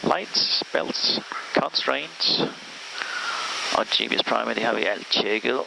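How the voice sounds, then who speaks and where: A man's voice: medium pitch, animated, measured pace, gravelly. A Danish man working as an airline pilot, calling out checklists and radio replies in a clipped, procedural way with a Danish accent. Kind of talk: cockpit checklist callouts and radio calls. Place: airliner cockpit in flight. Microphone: cockpit intercom headset. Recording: loud cockpit noise with hiss.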